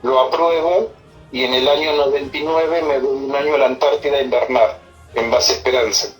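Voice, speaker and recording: Halting middle-aged Argentine man on a phone. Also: medium pitch, fairly even intonation. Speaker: army senior non-commissioned officer being interviewed. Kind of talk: informal interview answers, personal recollection. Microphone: telephone. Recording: phone call interview with music.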